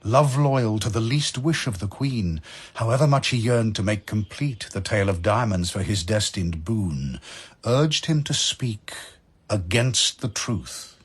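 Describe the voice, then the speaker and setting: A man narrating dramatic verse as a solemn, measured storyteller. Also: lowish voice, lively intonation, slightly rough.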